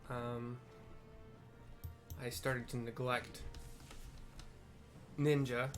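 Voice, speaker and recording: A man's voice: mid-range voice, flat delivery, drawn-out words, soft background music. A man giving casual commentary as he plays a video game, rambling through offhand asides. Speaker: gamer, channel host.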